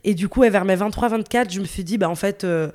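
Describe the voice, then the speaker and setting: A woman's voice: medium pitch, quick pace, darkish tone. A woman in her late twenties from northern Italy, a guest chatting casually and bubbly in an interview, laughing easily and full of fillers. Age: 20s.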